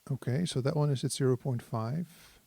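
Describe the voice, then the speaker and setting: Mellow-voiced man explaining gear casually. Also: medium pitch, moderate pace, vocal fry, slightly rough, no room sound. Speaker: synthesizer demonstrator. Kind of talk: casual hands-on tutorial talk.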